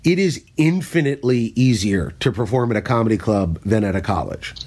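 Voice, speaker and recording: Chatty comedian telling stories. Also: male voice, lowish voice, a little fast, slightly rough. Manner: storytelling, self-deprecating, animated when telling bits. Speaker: stand-up comedian and podcast host. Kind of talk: casual podcast chat.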